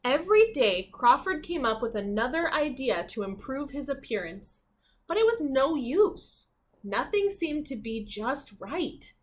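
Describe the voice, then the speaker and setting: Slow, expressive woman reading to children. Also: sits high. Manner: expressive, pausing to comment and question the listener. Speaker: teacher reading aloud. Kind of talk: children's storybook read-aloud.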